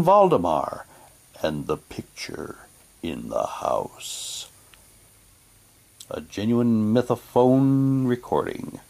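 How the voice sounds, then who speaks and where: A man doing a casual unboxing, talking in a halting way and reading packaging text aloud like a hammy radio announcer. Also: lowish voice, vocal fry, darkish tone. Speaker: vlogger unboxing a collectible audio drama CD.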